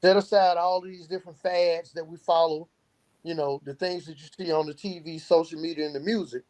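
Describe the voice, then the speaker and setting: Higher-pitched man explaining earnestly. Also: steady tone, brisk, darkish tone. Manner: poses rhetorical questions and answers them. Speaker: founder of a youth outreach organization, podcast guest. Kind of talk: earnest explanatory interview talk.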